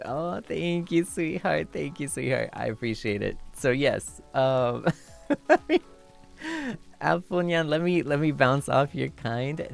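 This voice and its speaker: A young man streamer chatting casually with viewers, laughing often and thanking them warmly, moving between a low relaxed voice and a higher one. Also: vocal fry. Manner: shifts between low chill voice and high voice.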